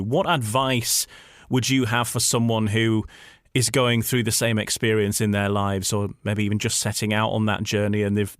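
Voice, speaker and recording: A man hosting a conversational radio interview, halting a little and repeating words as he goes. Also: on the low side, dry recording, clean studio sound.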